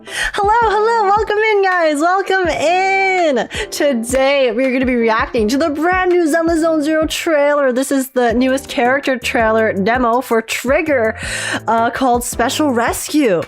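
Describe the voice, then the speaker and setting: Excitable high-voiced woman livestreaming. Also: a little slow, soft background music. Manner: excitable, self-teasing, repeats phrases for emphasis. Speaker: livestream host and gaming reactor. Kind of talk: casual livestream chat and trailer reaction.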